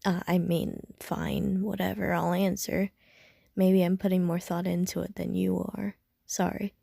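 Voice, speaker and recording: A woman plays a young gamer girl in an intimate audio roleplay. Her voice is mellow and close, with an unhurried, slangy delivery. She is hesitant, dry and a little prickly, always ready to mock herself. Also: lowish voice, level tone, vocal fry, no room sound, exaggerated voice. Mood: guarded, flustered. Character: casual, self-deprecating, slightly prickly young woman gamer. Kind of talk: intimate audio roleplay, casual chat to the listener.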